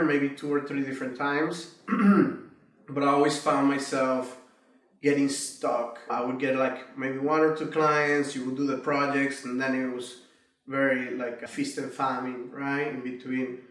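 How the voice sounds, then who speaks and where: A man's voice: fairly high pitch, a bit bright. A man from Miami giving a casual, reflective testimonial about a freelance writing course, often adding "right?" and "you know". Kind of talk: testimonial interview. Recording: echoey room, distant mic, faint music.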